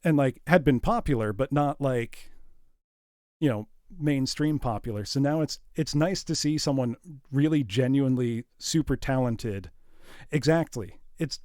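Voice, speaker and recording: A man's voice: medium pitch, unhurried, darkish tone, no room sound, clean studio sound. A man hosting a livestream, chatting informally and enthusiastically while musing aloud. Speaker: livestream host. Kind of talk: casual livestream chat.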